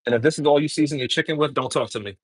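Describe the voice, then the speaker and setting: A man's voice: a little high, very fast, husky, dry recording. A man from Brooklyn, chatting casually with viewers while cooking on a livestream. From New York City, Brooklyn. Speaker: home cook livestreaming.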